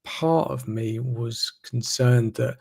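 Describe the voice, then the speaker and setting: A man's voice: medium pitch, slow pace, vocal fry, darkish tone. A man hosting a live online interview, rambling and repeating words as he talks. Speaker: host.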